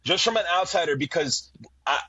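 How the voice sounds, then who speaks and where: A man's voice: fairly high pitch, animated, fairly quick, pushed and bright, husky, no room sound. A man from Brazil hosting a football podcast, talking with many hesitations and fillers while steering the talk with questions to his guests. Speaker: podcast host. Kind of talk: casual football discussion. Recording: dull lo-fi mic.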